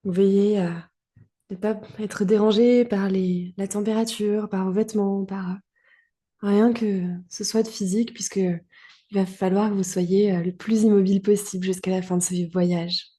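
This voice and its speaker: A woman guiding a relaxation meditation as a yoga teacher, calm and soothing, in short phrases with long pauses. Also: medium pitch. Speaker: yoga nidra guide.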